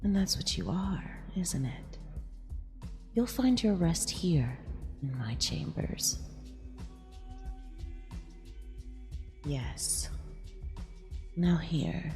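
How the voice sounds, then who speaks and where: A woman playing a mean, possessive eldritch empress in a half-whispered ASMR roleplay, condescending yet affectionate, with a breathy, creaky delivery. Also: fairly low pitch, sing-song, darkish tone. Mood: possessive, soothing. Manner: slow, deliberate, condescending purr. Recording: long reverb with music underneath.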